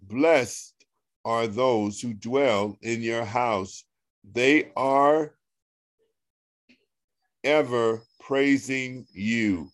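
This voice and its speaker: A man with a deep voice guides a scripture reading as pastor over a video call. He talks slowly and deliberately, coaxing his listeners along. The sound is dry and close. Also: no room sound. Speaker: pastor leading a Bible study. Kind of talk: online Bible study, reading scripture aloud.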